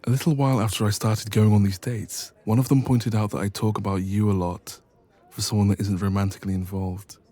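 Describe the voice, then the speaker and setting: A man playing a smitten, shy and teasing young best friend in a romantic audio roleplay, speaking softly and intimately with some hesitation. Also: fairly low pitch, fairly even intonation, brisk, close to an everyday voice. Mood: nervous, tender.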